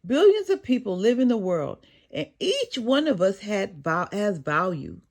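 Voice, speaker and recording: A woman voicing a caring, encouraging father in a children's storybook, gently instructive and reassuring. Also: medium pitch, very animated, measured pace, vocal fry, heavily acted. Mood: reassuring, wise. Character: caring, wise, encouraging father of a young boy.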